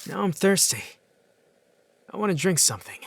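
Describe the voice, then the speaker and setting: A man's voice: high voice, big pitch swings, moderate pace, vocal fry, dry recording, full character voice. A man playing a weary, wry teenage boy who narrates his night in a visual novel fan dub, dry and matter-of-fact. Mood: tired, matter-of-fact.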